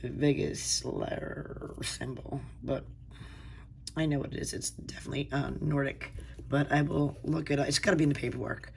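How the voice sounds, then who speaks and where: A woman with a hoarse voice from a cold, hosting a casual unboxing video and gushing softly over pretty items. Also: sits low, fairly even intonation, normal speed, slight background noise. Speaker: unboxing channel host.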